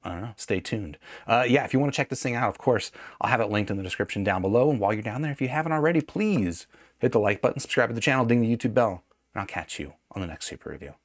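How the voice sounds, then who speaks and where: A man's voice: mid-range voice, expressive, fast, dry recording, clean studio sound. A man hosting an audio gear review in a casual, unscripted, conversational way, often hedging and correcting himself mid-sentence.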